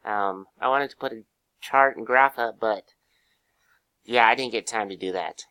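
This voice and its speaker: A hoarse young man with a cold, giving a casual class presentation, full of fillers and pauses. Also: fairly low pitch, bright, forceful tone, slight background noise. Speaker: student presenter.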